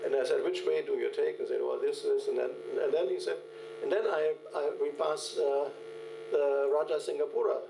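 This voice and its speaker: An older man speaks from a podium in a large hall, telling anecdotes in a halting, filler-laden way. His voice has a gravelly edge. It is picked up from a distance, with long room echo and a steady mains hum behind. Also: on the high side. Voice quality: halting, many "uh" fillers and restarts. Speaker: keynote speaker. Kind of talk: conference lecture with anecdotes. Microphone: podium microphone, recorded from the hall.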